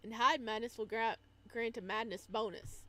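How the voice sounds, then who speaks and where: A woman's voice: on the high side, steady tone, normal speed, fairly bright tone. A woman live-streaming a game in a casual style, reading on-screen text aloud and adding chatty asides.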